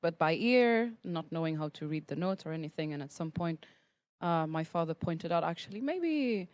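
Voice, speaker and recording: A woman's voice: fairly low pitch, lively intonation, moderate pace, a little mellow. A young woman from Switzerland, a guest musician, telling her story in an interview. She laughs often between phrases and uses many ums and restarts. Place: podcast studio.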